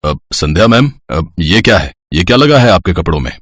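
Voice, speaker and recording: A man acts a scolding middle-aged principal in a moral story. His voice is low and husky, with an officious, admonishing manner. The sound is dry. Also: sing-song, a little fast, dry recording, faint music behind. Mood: stern.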